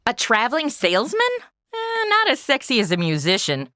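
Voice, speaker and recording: A woman's voice: on the high side, huge pitch swings, a little fast, sharp tone, no echo, studio quality. A woman with a bubbly, flirty, self-mocking manner who hosts a comedy audio drama and plays its brassy lead. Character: flirty, brassy modern woman out of her time. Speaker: podcast host and actress. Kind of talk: comedy acting and chatty outro.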